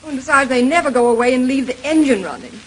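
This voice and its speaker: A high-voiced, fretful wife. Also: female voice, lively intonation, quick pace. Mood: worried. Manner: fretful, melodramatic. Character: anxious, excitable wife fearing for her husband. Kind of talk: old-time radio mystery acting. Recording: noisy old radio drama recording.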